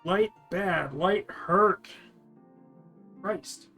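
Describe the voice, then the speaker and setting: A man's voice: high voice, drawn-out words, gravelly, pushed and bright, faint music behind. A man giving casual, sarcastic, sweary game commentary that describes the scenes for blind players. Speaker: host of a descriptive let's play for blind players.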